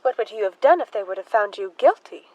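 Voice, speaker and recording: A young woman playing a devout, unhinged murderous sister in an audio drama, with halting, fervent delivery. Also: medium pitch, expressive, dark tone, no room sound, muffled recording, natural voice. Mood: fervent, eerie. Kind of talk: high school audio drama acting.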